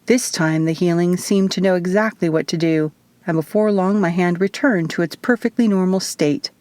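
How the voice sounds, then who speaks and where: A woman's voice: fairly low pitch, normal speed, darkish tone, dry recording. A woman narrating first-person fiction as an audiobook reader, in a scripted, storytelling style.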